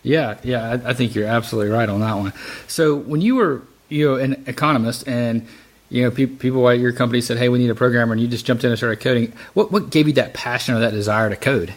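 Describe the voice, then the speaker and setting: A man around his thirties or forties hosts in a casual, conversational style. He speaks quickly in a mid-range voice, as in a relaxed interview chat. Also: mid-range voice. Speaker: podcast host.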